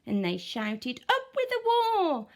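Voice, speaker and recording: A woman voicing rowdy, bloodthirsty young tyrannosaurus sisters who shout and jeer. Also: sits high, wildly swooping pitch, takes it slowly, voice pushed up, exaggerated voice. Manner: shouting and jeering.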